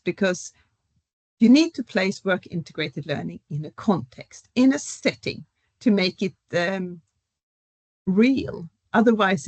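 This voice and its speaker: Middle-aged woman academic, calm and explanatory, mid-range voice, dry recording. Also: mid-range voice, no echo. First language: Swedish. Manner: measured, explanatory, with fillers. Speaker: professor, panel guest. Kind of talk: academic panel discussion.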